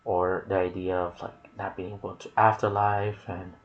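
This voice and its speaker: A man giving hushed ASMR gaming commentary, musing softly and often dropping toward a whisper. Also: low-pitched, flat delivery, moderate pace, dull audio. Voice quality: soft, breathy, partly whispered. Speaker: gaming video creator and host. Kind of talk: ASMR gaming commentary mixed with casual chat.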